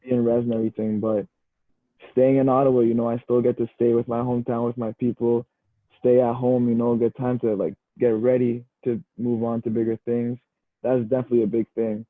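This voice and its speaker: A man's voice: mid-range voice, a little fast, no echo. Young man on a tinny, dry call. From Canada. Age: young adult. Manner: relaxed, easygoing, says "bro" and "you know" a lot. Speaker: guest, university football player. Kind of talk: casual podcast chat. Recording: tinny phone-like line, dry.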